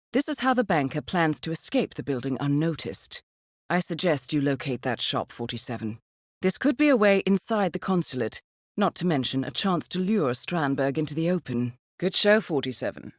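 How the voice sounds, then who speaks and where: An actress playing a composed, dryly witty British intelligence handler who briefs an assassin in a measured, precise, formal way for a video game. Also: female voice, fairly low pitch, no room sound, studio quality. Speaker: mission handler.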